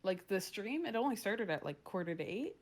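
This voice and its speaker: A woman's voice: mid-range voice, lively intonation, fairly quick. A woman taking part in a tabletop roleplaying game, chatting casually and thinking aloud with some hesitation. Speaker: player in a tabletop roleplaying stream. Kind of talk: casual chat.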